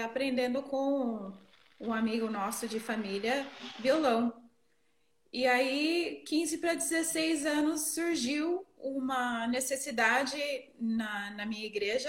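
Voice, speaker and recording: A middle-aged woman from the northeastern United States, a native English speaker, telling her story reflectively and haltingly as an interview guest. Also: fairly high pitch, roomy sound. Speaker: interview guest, worship leader. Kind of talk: conversational personal storytelling in an interview.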